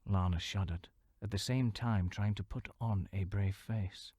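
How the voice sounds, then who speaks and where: A man narrating a fantasy novel in a measured storytelling manner, sometimes dropping to a hushed near-whisper. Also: lowish voice, no room sound, studio quality. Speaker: audiobook narrator.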